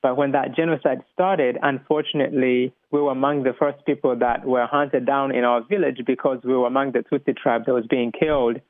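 A man in his thirties from Rwanda with a Rwandan accent, telling his story as an interview guest in a halting way, repeating words. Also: mid-range voice, fairly even intonation, dry recording. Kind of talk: personal testimony in an interview. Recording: thin, band-limited phone-like line.

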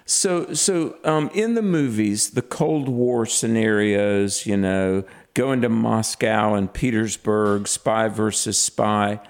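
A man's voice: fairly low pitch, slow pace, hardly any pauses, smooth. A man filling in as host of a talk radio show, interviewing a guest earnestly, with frequent fillers.

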